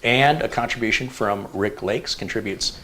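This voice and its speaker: A man hosting a live stream, chatting casually and thinking aloud with relaxed fillers. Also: lowish voice, normal speed, rough recording. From United States. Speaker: show host.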